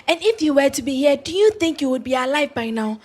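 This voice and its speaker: A woman's voice: sits high, expressive, quick pace, darkish tone. An actress with a Ghanaian accent playing a young female medical student in an audio drama. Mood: chiding. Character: young female medical student, chiding peers, deferential to seniors. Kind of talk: scripted audio drama acting.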